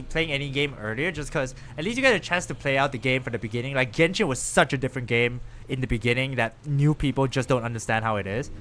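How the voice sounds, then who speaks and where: A man chatting casually with viewers and reacting out loud while he plays a game on a live stream. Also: medium pitch. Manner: chatty, animated, lively reactions while playing.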